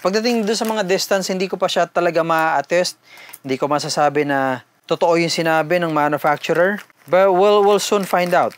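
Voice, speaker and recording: A man's voice: sits high, moderate pace. A man with a Filipino accent doing a casual, conversational unboxing and product review, mixing two languages as he talks informally to viewers.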